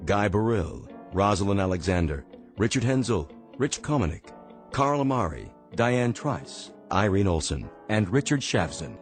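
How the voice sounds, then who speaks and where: Low-voiced, animated male radio announcer. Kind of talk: scripted credits announcement.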